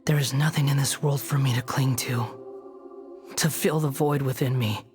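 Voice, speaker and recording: Game antihero, played by a man: youthful living puppet, mid-pitched, brooding, remorseful and earnest. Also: fairly even intonation, normal speed, music in the background, close to an everyday voice. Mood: remorseful, pleading. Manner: grave, earnest, subdued. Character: brooding young male puppet, remorseful, solemn, resigned. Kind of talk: video game voice acting.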